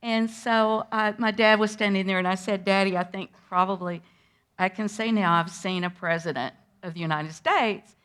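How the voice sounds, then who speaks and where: An older woman guest, telling a vivid personal story to schoolchildren in an animated way. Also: medium pitch, normal speed. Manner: lively, animated storyteller who acts out moments. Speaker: interview guest recalling a historic day.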